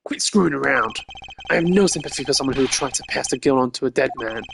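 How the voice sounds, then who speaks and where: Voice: mid-range voice, fast talker, creaky, noisy background, close to an everyday voice. Performer doing a blunt, confident male agent. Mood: angry. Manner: blunt, taunting, confrontational. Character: gruff, defiant male agent, taunting and confident.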